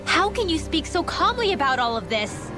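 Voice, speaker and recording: A woman's voice: extremely high pitch, big pitch swings, normal speed, over music. A woman voicing an earnest, inquisitive young girl in a video game. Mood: startled, questioning. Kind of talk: scripted video game voice acting.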